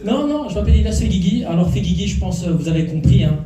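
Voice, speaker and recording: A man's voice: sits high, expressive, quick pace, music in the background. A man doing a stand-up routine on a club stage, teasing the crowd and working the audience. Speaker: stand-up comedian. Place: comedy club stage before a live audience. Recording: echoey room, from far off.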